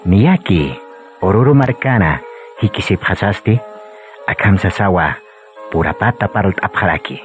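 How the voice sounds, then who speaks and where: A man's voice: deep voice, animated, a little slow, pauses often. A man narrating a scripted radio drama. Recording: dull muffled recording with music behind. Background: music.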